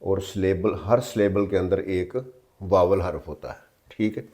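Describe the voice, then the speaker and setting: Man, very low voice, grammar teacher, repetitive, spells words letter by letter. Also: fairly even intonation, unhurried, mellow. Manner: repetitive, checks in often with filler confirmations. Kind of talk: explanatory lesson in mixed Hindi-Urdu and English.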